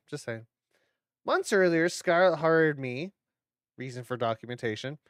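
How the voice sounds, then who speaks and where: A man's voice: on the high side, fairly bright tone, dry recording, studio quality. A man hosting a horror watch-along, giving casual reaction commentary with playful, rambling asides.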